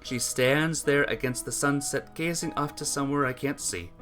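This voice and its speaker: A man reading a visual novel aloud as a let's play narrator, with expressive, earnest storytelling delivery. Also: mid-range voice, normal speed, soft background music.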